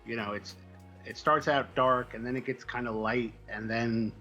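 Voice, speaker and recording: A man speaking as a guest writer in a casual interview, answering in a hesitant, halting way with trailing asides. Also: mid-range voice, steady tone, sharp tone, faint music behind. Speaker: comic book writer, guest.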